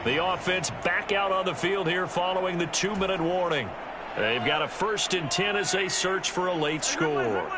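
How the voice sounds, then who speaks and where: A man's voice: sits high, moderate pace, background noise, close to an everyday voice. A man playing a play-by-play football announcer in a video game, with an American accent and a polished, punchy TV commentary delivery. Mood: measured, alert.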